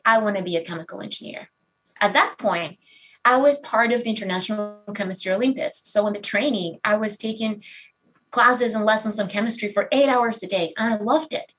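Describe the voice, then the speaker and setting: Woman, 30s, candid expressive storytelling, pokes fun at herself. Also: medium pitch. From Venezuela. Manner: candid and expressive, self-deprecating. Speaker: speaker giving a talk. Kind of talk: personal story talk.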